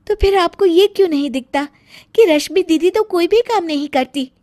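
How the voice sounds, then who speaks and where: A woman's voice: extremely high pitch, expressive, moderate pace, warm tone, dry recording, clean studio sound. A woman voicing a sweet, pleading young daughter-in-law in a cartoon family story. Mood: hurt, protesting. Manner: pleading, respectful, emotional. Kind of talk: Hindi moral-story cartoon acting.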